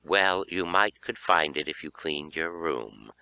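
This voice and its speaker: A man's voice: a bass voice, pushed and bright, clean tone, voice pushed down, exaggerated voice. A man voicing a stern, exasperated mother in an audiobook, scolding and demanding. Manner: slow, demanding. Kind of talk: acted audiobook dialogue.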